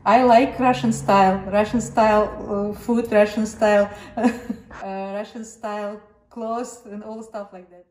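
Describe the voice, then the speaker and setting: A woman who teaches piano, speaking in a halting, proud way in a documentary interview. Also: mid-range voice, fairly even intonation, darkish tone. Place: indoor home room by a piano. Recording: echoey room.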